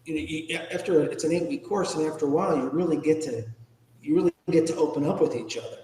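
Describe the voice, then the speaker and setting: A man's voice: fairly high pitch, quick pace, mellow, slight background noise. Echoey American man speaking candidly. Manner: candid, informal, occasionally salty. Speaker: podcast guest, ethics trainer and public speaker. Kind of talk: reflective personal interview answers. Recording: echoey room with long reverb.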